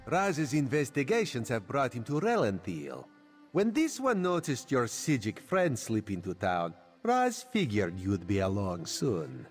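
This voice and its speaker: Sly, animated catman agent. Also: mid-range voice, unhurried, husky, soft background music, heavily acted. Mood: wry, inquisitive. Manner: speaks of self in third person, theatrical. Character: sly, wry catlike Khajiit agent, adult male. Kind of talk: video game character dialogue.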